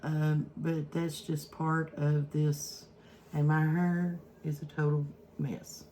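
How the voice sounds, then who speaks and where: An older woman with an Eastern Kentucky accent chats casually and haltingly to viewers in folksy, plain words, recorded at home on a phone. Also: deep voice, level tone, dark tone. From Eastern Kentucky, USA. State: feeling weak and unwell. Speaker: home cooking vlogger. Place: at home, indoors in a kitchen. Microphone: iPhone, no separate microphone. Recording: faint background noise, phone built-in microphone.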